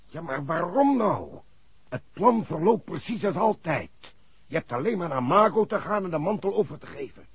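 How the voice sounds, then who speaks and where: An actor playing a pushy, threatening criminal go-between in a 1960s Dutch radio drama, raspy-voiced. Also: male voice, on the high side, expressive, moderate pace, no room sound. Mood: pressing, impatient. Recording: dull 1960s radio recording.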